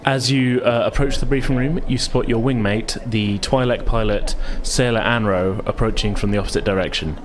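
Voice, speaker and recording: A man's voice: lowish voice, fairly even intonation, moderate pace, hardly any pauses, background noise. A man narrating a tabletop role-playing game as game master, improvising his descriptions.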